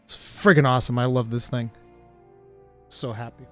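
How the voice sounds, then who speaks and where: A man's voice: mid-range voice, moderate pace, faint music behind. A Portuguese man with an American-sounding English accent, chatting casually as a streamer and reading viewer questions. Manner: excitable, laughs often, says dude a lot.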